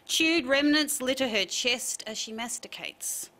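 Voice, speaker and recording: A woman's voice: high-pitched, animated, a little slow, bright, forceful tone. A woman reading short personal literary pieces as a writer. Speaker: writer reading own work.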